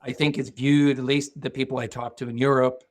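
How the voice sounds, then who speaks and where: Measured man. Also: medium pitch, little pitch movement. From Norway. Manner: thoughtful, measured, with frequent restarts. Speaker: guest, security executive. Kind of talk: podcast interview.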